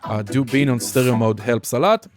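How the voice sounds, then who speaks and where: A man's voice: medium pitch, normal speed. Man giving casual tutorial over music. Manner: casual, explanatory. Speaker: music production instructor. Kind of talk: screen-recorded software tutorial, informal walkthrough.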